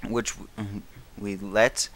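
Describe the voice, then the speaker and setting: A man's voice: on the low side, little pitch movement, slow, a bit bright. A man playing a grave, defiant elder British statesman, giving a 1930s radio address with emphatic, oratorical delivery. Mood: solemn, urgent. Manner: declamatory, emphatic, dramatic stresses, occasional stumbles.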